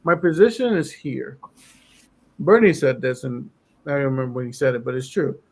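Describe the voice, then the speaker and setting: A man in casual political chat on a podcast, losing his voice and clearing his throat as he talks in a rambling way. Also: mid-range voice, moderate pace. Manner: rambling, lots of fillers. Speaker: podcast co-host.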